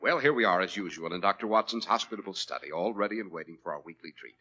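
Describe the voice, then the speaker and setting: An American man announcing in 1940s radio style, with polished, emphatic delivery as host and sponsor pitchman. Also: lively intonation, quick pace, lo-fi sound, loud music behind the voice.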